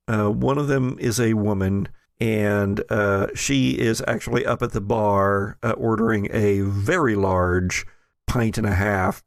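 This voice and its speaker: A man with a low, gravelly voice runs a tabletop roleplaying game as its narrator. He feels his way through descriptions haltingly and with humor, in a very dry, close sound. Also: no room sound. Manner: halting, often jokey scene description. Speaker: game master and podcast host. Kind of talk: improvised scene narration for a tabletop roleplaying podcast.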